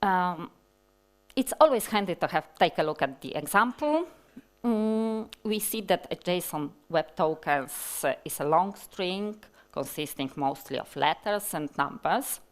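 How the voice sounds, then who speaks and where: A woman in her forties with a Polish accent, giving a technical conference talk from a large hall stage, speaking slowly and deliberately with many fillers and restarts. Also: fairly low pitch, expressive.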